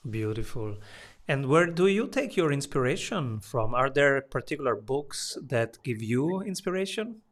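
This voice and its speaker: A man hosts a business interview at an unhurried pace. He asks open questions and answers with brief, encouraging words. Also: mid-range voice, big pitch swings. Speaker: podcast host and interviewer.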